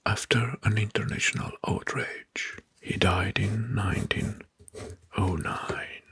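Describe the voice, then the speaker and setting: Slow, breathy whispering man, ASMR. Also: on the low side, steady tone, fairly bright tone. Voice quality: breathy, mostly unvoiced whisper. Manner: deliberate, pausing in short phrases, often repeating words. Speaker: ASMR host presenting history facts. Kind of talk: whispered ASMR history narration. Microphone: close microphone.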